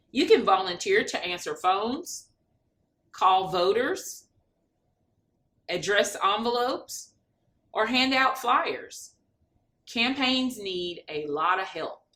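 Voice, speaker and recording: Bright-voiced woman reading animatedly to children. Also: a little high. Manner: expressive, deliberate, addressing young listeners with questions. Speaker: storybook reader. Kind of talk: animated children's read-aloud.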